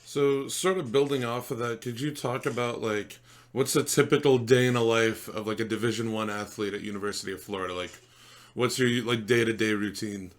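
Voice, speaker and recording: A man's voice: medium pitch, fairly even intonation, a little fast. A young man hosting a podcast and asking casual interview questions.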